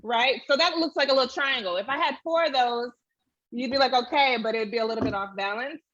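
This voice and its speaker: A woman's voice: on the high side, fairly quick, a bit bright, no echo, muffled recording. A woman giving a casual, chatty step-by-step drawing tutorial, thinking aloud as she goes. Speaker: illustrator demonstrating drawing.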